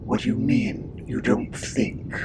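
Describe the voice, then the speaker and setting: A man acting as a grave interrogator in an audio drama, asking pointed questions in a deliberate way. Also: high voice, sing-song, vocal fry, exaggerated voice. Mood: probing. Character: grave, probing male interrogator drawing out testimony. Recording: loud ambient noise behind.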